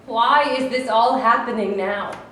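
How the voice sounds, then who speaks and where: A woman's voice: a little high, unhurried, fairly bright tone, a little hiss or hum. A woman playing a worried cave queen in a staged reading, speaking in a hesitant, questioning way. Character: worried cave queen, loyal but doubtful. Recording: echoey hall from far off.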